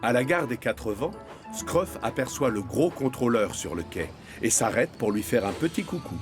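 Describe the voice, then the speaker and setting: Man, cartoon narrator, medium pitch, scripted storytelling, background music. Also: expressive, moderate pace.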